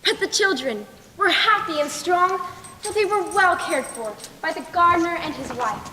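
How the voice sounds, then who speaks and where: Stage storyteller queen, played by a young woman: formal, measured, high-pitched, heard in a reverberant hall. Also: animated. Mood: narrating calmly. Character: Scheherazade, composed clever queen telling stories to a sultan. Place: school stage play in a large hall. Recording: echoey hall, clear background noise.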